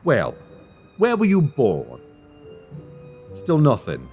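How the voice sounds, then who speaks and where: A man's voice: medium pitch, wildly swooping pitch, slow, darkish tone, soft background music, full character voice. A man playing a cheeky, panicky young rogue in a fantasy audio roleplay, rambling in flustered, colloquial banter. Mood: curious, chatty.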